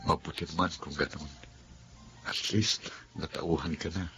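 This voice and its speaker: Isang lalaking gumaganap bilang malambing na si Andrew. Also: male voice, extremely low pitch, steady tone, measured pace, sharp tone, soft background music, full character voice. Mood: tender. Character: young man Andrew, devoted boyfriend. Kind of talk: Filipino radio drama acting.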